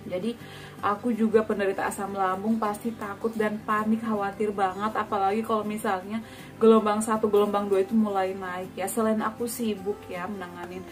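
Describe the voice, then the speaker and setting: A woman's voice: medium pitch, flat delivery, brisk. Chatty lady in her 30s telling a personal story, kinda far from the mic, music playing behind. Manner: chatty, frequent "ya" tags and hesitations. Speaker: vlogger sharing a personal story.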